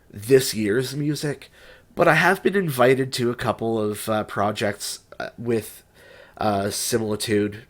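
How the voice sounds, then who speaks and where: A young man talks to camera in a loose, unscripted vlog, his voice stuffed up from a cold. He rambles and restarts often, stopping on fillers as he works through his thoughts. His pitch sits in an ordinary middle register. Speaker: music writer and YouTuber.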